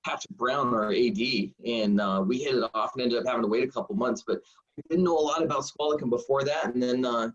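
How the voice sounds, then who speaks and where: A man in his forties, a high school football coach, telling stories in a rambling, self-deprecating way full of fillers. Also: brisk. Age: 40s. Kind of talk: casual storytelling interview. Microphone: video call. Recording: dull lo-fi video call audio.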